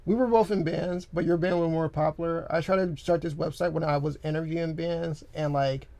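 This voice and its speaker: A young man co-hosting a podcast in a studio, chatting casually in a rambling, animated way with lots of filler words. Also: on the high side, a little fast, no echo. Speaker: podcast co-host. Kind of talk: casual chat. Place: recording studio. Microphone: studio microphone.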